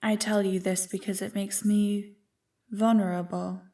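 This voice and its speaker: A woman's voice: mid-range voice, little pitch movement, unhurried, dark tone, far from the mic, close to an everyday voice. A woman playing an ancient, manipulative evil creature in an ASMR roleplay, teasing and condescending as she savors her words. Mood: conspiratorial, tempting. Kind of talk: ASMR roleplay, scripted monologue to the listener. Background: music.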